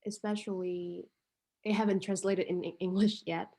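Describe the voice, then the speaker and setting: Woman, English, hesitant with many fillers, mid pitch, video call. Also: little pitch movement, normal speed, dark tone. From Vietnam. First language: Vietnamese. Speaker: international student with a startup idea. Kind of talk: casual conversation.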